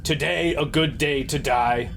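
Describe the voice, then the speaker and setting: A man's voice: fairly high pitch, unhurried, creaky, a bit bright, faint music behind. A man playing a stern, defiant starship captain in a tabletop game, formal and confrontational. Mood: haughty challenge.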